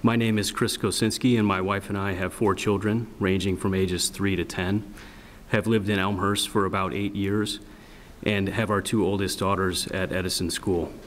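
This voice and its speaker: Flat-voiced man in a reverberant hall. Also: deep voice, a little hiss or hum. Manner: measured, formal, businesslike delivery. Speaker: school board candidate. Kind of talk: prepared opening statement.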